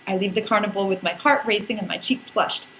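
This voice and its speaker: A woman's voice: mid-range voice, brisk, a bit bright, slight background noise, low-quality recording. A woman narrating a scripted first-person comic story in a playful, wry, theatrical way with dramatic emphasis. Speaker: podcast narrator reading a blog.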